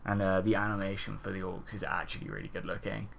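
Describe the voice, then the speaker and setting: A man's voice: low voice, big pitch swings, fast talker, gravelly, fairly bright tone, a little hiss or hum. A man co-hosting a podcast, explaining things in a casual, conversational way with some tentative hedging. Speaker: podcast co-host. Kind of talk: casual film discussion.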